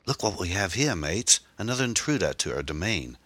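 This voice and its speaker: Audiobook villain: male narrator as cocky English teen gang boss, chirpy, mock-courteous menace, low and very animated delivery. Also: fairly quick, no echo, exaggerated voice. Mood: taunting menace. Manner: taunting, chirpy. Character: teenage English gang leader, mockingly polite, menacing.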